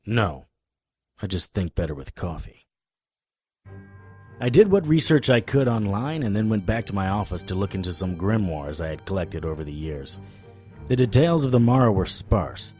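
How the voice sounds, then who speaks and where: An actor playing a wry, stubborn occult investigator in an audio drama, talking and narrating in a conversational noir style. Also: male voice, low-pitched, expressive, moderate pace, a little mellow, soft background music. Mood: wry, insistent.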